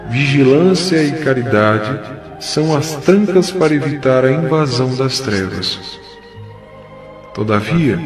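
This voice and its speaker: Man playing a slow, solemn spirit mentor over music. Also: medium pitch. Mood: grave, resolute. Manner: measured, exhorting, earnest. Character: benevolent male spirit mentor, solemn and kindly preacher. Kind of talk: solemn audio drama sermon. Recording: music behind the voice.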